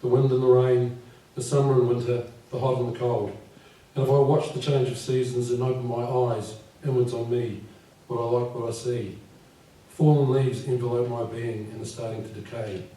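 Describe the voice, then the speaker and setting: Croaky older man reading poetry. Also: mid-range voice, little pitch movement, normal speed, warm tone. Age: 50s. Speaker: poet. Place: live venue with audience. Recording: echoey room from far off.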